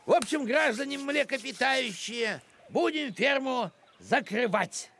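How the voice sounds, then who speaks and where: An actor playing a fussy, officious middle-aged village postman in a cartoon, with pompous bureaucratic phrasing and a creaky voice. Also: very high voice, expressive, a little slow, loud music behind the voice, exaggerated voice. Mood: officious, stern. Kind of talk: cartoon voice acting.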